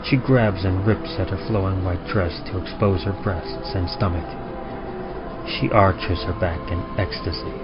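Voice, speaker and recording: Man acting out enthralled grieving lover, deep voice, solemn horror-story delivery, music behind. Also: steady tone, unhurried. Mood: entranced. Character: obsessive lovelorn man awaiting his dead love, enthralled. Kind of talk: dramatic first-person horror narration. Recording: dull audio under loud music.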